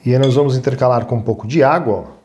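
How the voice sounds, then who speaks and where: A man's voice: medium pitch, fast talker, darkish tone, a little hiss or hum. A man with a Brazilian Portuguese accent hosting a casual step-by-step cooking demonstration, informal and instructive, often adding little check-in tags. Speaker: cooking show host.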